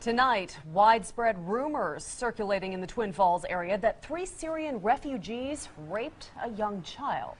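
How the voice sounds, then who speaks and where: Woman, news anchor, scripted, higher pitch, faint noise. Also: lively intonation, a little slow, few pauses.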